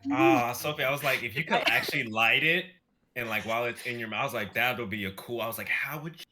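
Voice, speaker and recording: A man in his twenties chatting casually on a livestream in an animated, conversational way, over a noisy microphone. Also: mid-range voice, quick pace. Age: 20s. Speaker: livestream performer. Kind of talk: casual chat.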